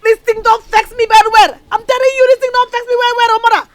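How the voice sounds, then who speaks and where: An actress playing a headstrong young woman who is quick to pick a fight. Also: dry recording. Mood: angry. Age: young adult. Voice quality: high, animated Nigerian Pidgin-tinged speech.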